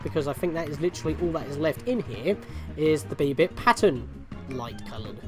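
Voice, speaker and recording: A man's voice: on the high side, a bit bright. A man hosting a gaming video with casual, rambling let's play commentary and chatty asides.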